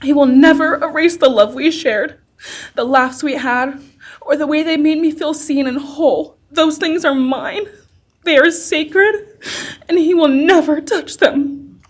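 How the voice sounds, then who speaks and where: Young woman, high register, emotional. Solemn courtroom statement, delivered in short emphatic phrases. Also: unhurried. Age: young adult. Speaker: survivor giving a victim impact statement. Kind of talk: prepared personal statement read in court.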